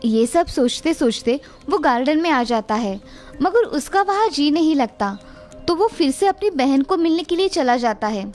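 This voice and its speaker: Very high-pitched woman storyteller. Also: expressive, normal speed, a little mellow, faint music behind. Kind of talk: scripted children's story narration.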